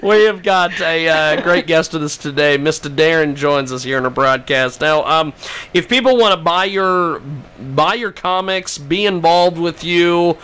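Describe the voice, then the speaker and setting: A man hosting a casual live talk show, speaking haltingly and repeating words as he goes. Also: a little high, fairly bright tone, smooth, rough recording. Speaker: radio show host. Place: radio studio.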